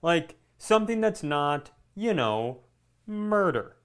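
A man co-hosting a podcast, chatting casually in a halting, reflective, informal way. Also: on the high side, sing-song, a bit bright. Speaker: podcast co-host. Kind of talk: casual chat.

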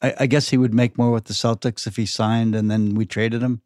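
A man's voice: medium pitch, flat delivery, brisk, darkish tone, no echo, studio quality. An older man chatting casually about sports as a podcast guest. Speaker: podcast guest, the host's father.

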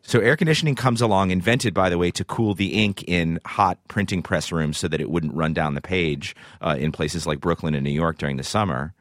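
An American man hosts a radio interview in a deep voice. He presents in clear broadcast phrasing, and the sound is dry, with no room around it. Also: brisk, hardly any pauses, a bit bright, no room sound. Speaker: radio show host and interviewer.

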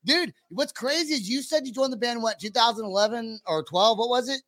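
A man's voice: very high-pitched, brisk, fairly bright tone, no room sound. A man from California's Bay Area with an American accent, hosting a casual, slangy chat. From San Francisco Bay Area, California. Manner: slangy, calls people dude and bro. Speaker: talk show host.

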